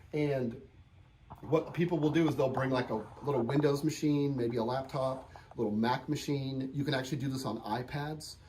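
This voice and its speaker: A man's voice: mid-range voice, warm tone, a little hiss or hum. A man presenting an informal hands-on tech tutorial, explaining conversationally and often rephrasing his points. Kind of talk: informal hands-on software and hardware demo.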